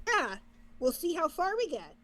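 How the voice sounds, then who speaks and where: A woman's voice: very high-pitched, sing-song, moderate pace, a crowd in the background. A woman co-hosting a reaction livestream, chatting casually with the viewers. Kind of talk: casual chat with stream viewers.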